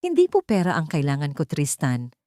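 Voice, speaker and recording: A weak, exhausted poor woman, as a female narrator puts her on in an audiobook romance. She speaks in a halting, deferential way with wounded dignity. The recording is dry and close. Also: low-pitched, wildly swooping pitch, dark tone, no room sound.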